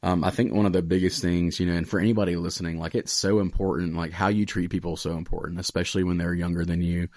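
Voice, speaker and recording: Deep-voiced young American man, casual podcast talk. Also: level tone, quick pace, hardly any pauses, darkish tone, no echo, clean studio sound. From Raleigh area, United States. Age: late 20s. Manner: informal, earnest, peppered with "like" and "you know". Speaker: podcast host, student pastor.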